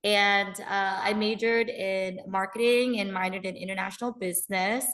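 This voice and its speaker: A woman's voice: mid-range voice, fairly even intonation, moderate pace, a bit bright. A woman, chatting as a podcast guest. She is upbeat, laughs often and fills gaps with ums. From United States. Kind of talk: casual interview chat.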